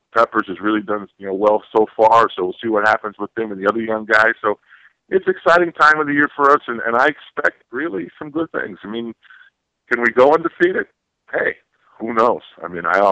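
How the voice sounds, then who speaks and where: A man with a fairly low, forceful voice talks sports as an interview guest. He is earnest and tends to repeat phrases to drive a point home. The sound is close and dry. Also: dry recording, lo-fi sound. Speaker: podcast guest, former college football player. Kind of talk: casual sports talk interview.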